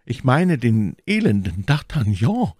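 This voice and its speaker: A man's voice: medium pitch, very animated, measured pace, darkish tone, slightly rough, dry recording, studio quality, pitched above the performer's own voice. A man voicing a scheming, vengeful noblewoman who speaks with formal, insistent deference in a storybook reading. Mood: vengeful, heated.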